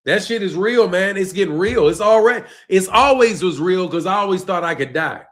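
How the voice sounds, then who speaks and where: A fairly high-pitched man. He leans hard on "bro" and "man," and his voice sinks low and quiet as each phrase trails off. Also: expressive, fairly quick. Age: 50s. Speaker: boxing channel host. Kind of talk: casual live-stream rant reading chat.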